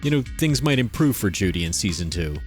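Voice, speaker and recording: A man reading a scripted announcement as show host, wry and measured. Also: lowish voice, sing-song, soft background music. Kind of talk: scripted narration.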